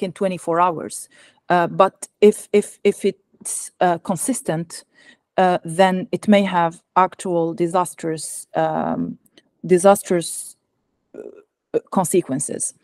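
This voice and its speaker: Soft-toned woman giving a hesitant talk. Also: fairly low pitch, no room sound, clean studio sound. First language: Algerian Arabic. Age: young adult. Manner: hesitant, many fillers and restarts. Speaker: guest speaker presenting research. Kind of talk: academic talk with slides. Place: home room, indoors. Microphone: video call webcam.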